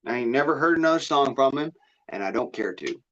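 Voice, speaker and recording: A man's voice: mid-range voice, expressive, a little fast, a bit bright. Folksy American man, dull lo-fi sound. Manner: blunt, folksy, profane. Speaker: livestream host. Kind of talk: rambling casual monologue. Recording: dull, lo-fi audio.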